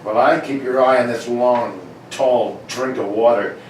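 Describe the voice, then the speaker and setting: A man playing a gruff, bossy older police inspector in a 1940s-style radio play, blustering and clipped. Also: medium pitch, fairly even intonation, unhurried, lightly acted. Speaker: amateur radio play performer. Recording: distant mic, very echoey room, faint noise.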